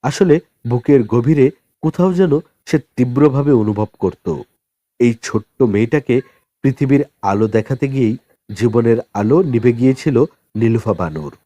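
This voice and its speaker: A man narrating a novel as an audiobook in a dramatic, expressive storytelling style with deliberate pauses. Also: mid-range voice, no echo, muffled recording.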